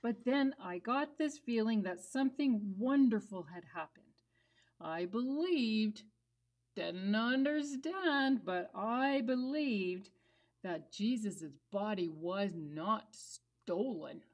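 Woman as a slow-talking, gentle young puppet disciple. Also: medium pitch, expressive. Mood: wondering. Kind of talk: children's puppet show storytelling.

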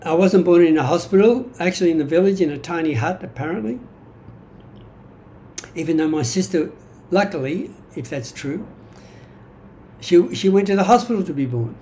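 A man in his seventies with an Australian accent, giving a reflective personal monologue from his notes in a halting, deliberate manner. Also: fairly high pitch, expressive, warm tone, slight background noise. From Greek-born, grew up in Australia. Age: 70s. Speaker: vlogger sharing personal history. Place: small indoor room at home.

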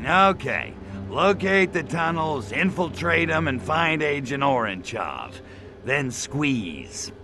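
A brash alien invader, drawling and animated. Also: male voice, a little high, takes it slowly. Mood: sardonic. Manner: sarcastic, brash, slangy. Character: cocky, wisecracking male alien invader. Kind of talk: video game cutscene acting. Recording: music behind the voice.

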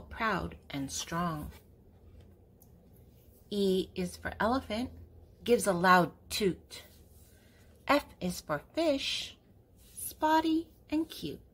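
Older woman, husky, reading to children. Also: mid-range voice. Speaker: children's book reader and channel host. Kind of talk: read-aloud of a children's picture book.

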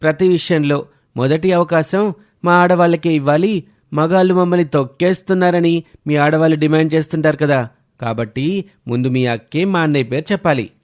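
A man's voice: fairly high pitch, brisk, a little mellow, pitched above the performer's own voice, lightly acted. A man voicing a playful young brother-in-law in a cartoon family drama, with an emphatic, teasing delivery.

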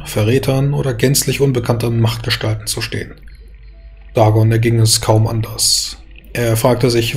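A man reading scripted dark fantasy narration as a storyteller, measured and solemn. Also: medium pitch. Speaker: storyteller, game master.

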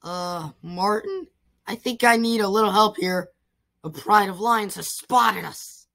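A woman's voice: medium pitch, a little slow, creaky, a bit bright. A woman voicing an earnest, slightly exasperated male nature adventurer in an amateur cartoon fan dub. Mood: alarmed.

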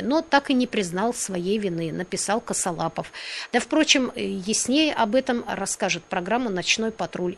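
Woman radio host reading the news. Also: mid-range voice.

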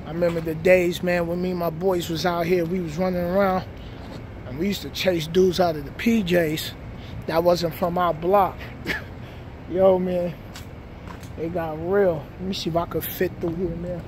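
Middle-aged New York man, high voice, easygoing reflective street talk, phone vlog on a night street with loud traffic noise. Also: steady tone, moderate pace. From New York City. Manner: laid-back, reflective, slangy street talk. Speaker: vlogger. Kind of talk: casual walking vlog. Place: outdoors at night on city streets and housing project grounds. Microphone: phone held at arm's length.

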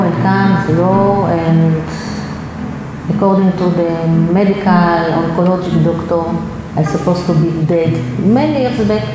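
A woman in her fifties from Israel, with a Hebrew accent, speaking slow and halting English in an interview in a yoga studio. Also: fairly low pitch. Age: 50s. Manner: slow, halting non-native English with pauses. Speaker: yoga teacher. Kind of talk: personal interview. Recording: roomy hall echo with loud guitar music.

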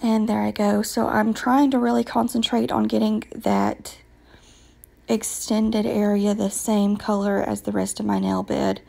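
A woman with a raspy voice from a recent illness, patiently explaining her nail work step by step with frequent ums. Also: mid-range voice, a little slow, mellow. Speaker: nail tutorial vlogger. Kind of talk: casual step-by-step nail tutorial voice-over.

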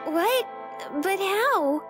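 Woman voicing a very high, girlish young woman. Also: animated, extremely slow, exaggerated voice. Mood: timid, hopeful. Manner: gentle, hesitant, girlish. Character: sweet, timid, naive young woman, eager to please. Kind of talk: dramatic visual novel read-aloud. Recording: loud music behind.

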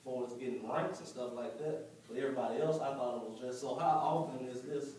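A council member asks questions in a hesitant way. His voice is mid-pitched. He sounds distant in a very echoey room. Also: quick pace, a little mellow, slight background noise. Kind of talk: meeting discussion.

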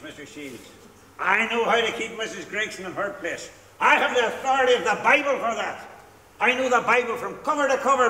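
Male actor doing a preachy drunk on a stage, high and tense, miked from far in a hall. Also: bright, forceful tone, a little hiss or hum. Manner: boastful, preachy, repetitive. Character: drunken blustering middle-aged Orangeman husband. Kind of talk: stage play acting. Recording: echoey hall, far from mic.

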